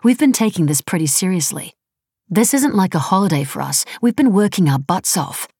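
A female narrator plays a prickly, scornful woman in an audiobook novel. Her voice is husky and full of fry, close and dry. She talks bluntly and sarcastically, with a casual, defensive edge. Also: on the low side, expressive, fairly quick, a little mellow, dry recording, pitched above the performer's own voice. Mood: earnest, frustrated. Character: defensive, scornful, foul-mouthed woman activist, earnest and prickly.

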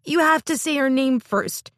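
A woman's voice: normal speed, no echo, clean studio sound, close to an everyday voice. A woman voicing a fierce, proud young woman who wails and sobs in a rage in an audiobook. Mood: furious wailing. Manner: shrill, wailing, tearful. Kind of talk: audiobook character voice.

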